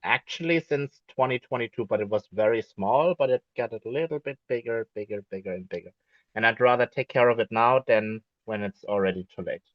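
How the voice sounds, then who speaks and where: A man's voice: mid-range voice, fairly quick, dry recording. Man on a dull, dry lo-fi line. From Greece. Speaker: podcast guest. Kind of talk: casual live chat.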